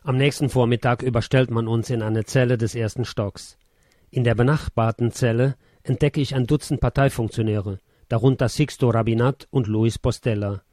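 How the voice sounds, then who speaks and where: A man reading a first-person memoir as scripted narration, in a measured, sober way. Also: medium pitch, fairly even intonation, warm tone, no echo, clean studio sound.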